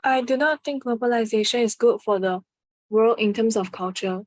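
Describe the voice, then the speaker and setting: A female student reading a prepared answer aloud, steady and even. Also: a little high, normal speed, no echo.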